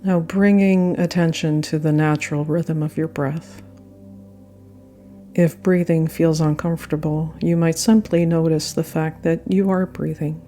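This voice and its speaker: A woman guiding a yoga nidra meditation with gentle, unhurried phrasing and frequent pauses. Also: low voice, level tone. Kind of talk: guided meditation narration. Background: soft meditation music.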